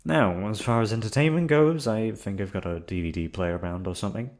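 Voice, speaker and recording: A man voicing a menacing yandere captor in an ASMR roleplay, with a put-on accent and a calm, coldly threatening delivery. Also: on the low side, fairly quick, natural voice. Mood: cold menace. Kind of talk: ASMR roleplay audio drama.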